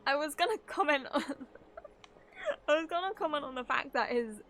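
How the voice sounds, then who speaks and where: A woman's voice: sits high, expressive, normal speed, fairly bright tone, a little hiss or hum. A woman streaming a game, chatting casually in a giggly, rambling way. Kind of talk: casual live chat commentary. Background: faint game music.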